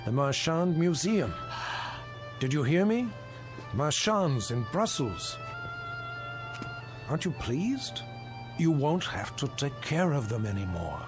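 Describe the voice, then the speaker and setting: An actor playing a practical, brisk museum owner in an audio drama, speaking in a reasoning, persuasive and sometimes dismissive way. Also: male voice, fairly high pitch, sing-song, over music. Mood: upbeat, excited.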